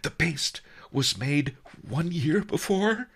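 A huge, gluttonous storybook merchant, voiced by a male reader. He speaks in a raised, husky, gasping voice, anxious and fawningly deferential, with very animated swoops. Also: fairly high pitch, a little slow, pitched above the performer's own voice, full character voice. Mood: rapt gasping.

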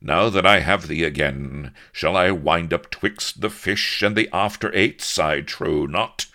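A man's voice: low voice, measured pace, pushed and bright, pitched above the performer's own voice. A man voicing a swaggering, gallant swashbuckler who declaims in theatrical archaic speech. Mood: gallant, confident. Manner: grandiloquent, declamatory.

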